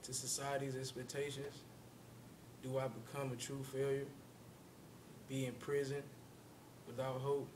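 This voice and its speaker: Man, solemn poetry recitation, slow and even delivery, rumbling noisy recording. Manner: measured, solemn, deliberate. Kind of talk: spoken-word poetry recitation. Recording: rumbling background noise.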